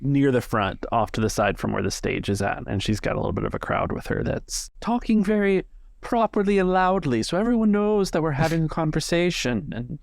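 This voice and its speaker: A man narrating a tabletop role-playing game as the game master, with a gravelly voice. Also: a little high, lively intonation, fairly quick, no room sound, studio quality.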